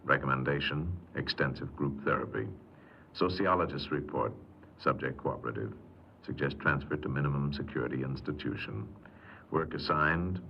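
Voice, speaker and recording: An actor playing a terse, impersonal records officer reading clipped reports in a 1950s radio drama, with a gravelly voice. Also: male voice, a bass voice, muffled recording, slight background noise. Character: clinical, terse male prison records officer.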